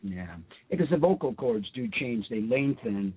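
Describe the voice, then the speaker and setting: A man's voice: medium pitch, animated, moderate pace, breathy edge, dry recording. A man hosting a radio show and interviewing casually. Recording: muffled, thin phone-like radio audio.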